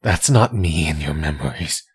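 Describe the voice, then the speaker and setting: A man playing a menacing, tormented villain spirit possessing someone's body, in a husky, brooding, deliberate monologue for an ASMR audio roleplay. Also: lively intonation, dry recording, clean studio sound, exaggerated voice. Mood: hushed, anguished. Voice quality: husky, breathy low voice. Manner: slow, deliberate, brooding monologue with dramatic pauses.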